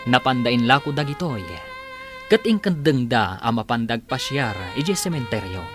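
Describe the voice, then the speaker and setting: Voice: medium pitch, expressive, moderate pace. An announcer narrating a radio drama in a dramatic scripted style. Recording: music bed under the voice. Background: loud theme music.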